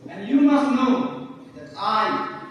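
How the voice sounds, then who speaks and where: An amateur actor playing a boisterous village youngster in a stage play, speaking in a declamatory, stilted and deliberate way, recorded from afar in a big hall. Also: medium pitch, dull audio, lightly acted. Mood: animated. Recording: very echoey hall, distant mic, faint noise.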